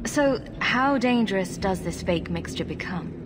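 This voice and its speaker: A woman playing a calm, diplomatic adventurer heroine in a video game, courteous and direct. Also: mid-range voice, unhurried, noisy background, lightly acted. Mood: calm, inquiring. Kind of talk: video game cutscene dialogue.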